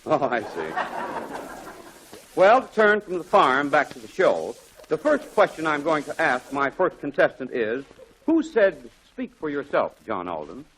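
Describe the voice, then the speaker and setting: A man's voice: fairly high pitch, huge pitch swings, unhurried, a bit bright, some chatter behind, heavily acted. A man playing a jovial, joking quizmaster on a 1940s radio comedy show. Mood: bantering. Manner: jovial, corny, chuckling. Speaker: quiz show host. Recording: faintly noisy 1940s radio recording.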